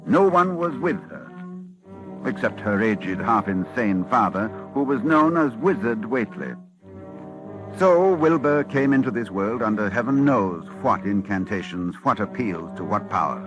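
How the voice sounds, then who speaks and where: Grave, low-voiced scholar. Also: male voice, lively intonation, a little slow, over music, poor audio. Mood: ominous narration. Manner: formal, solemn, dramatic. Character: grave, anxious male scholar warning of cosmic horror. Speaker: narrator. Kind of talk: 1940s radio acting.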